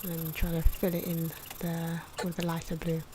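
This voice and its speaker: A soft-spoken, sometimes whispery woman giving unscripted, musing ASMR commentary as she draws, correcting herself as she goes. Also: sits low, monotone, normal speed, noisy background. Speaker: ASMR artist narrating own drawing. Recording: clock and pen noises behind. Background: ticking clock, pen scratching.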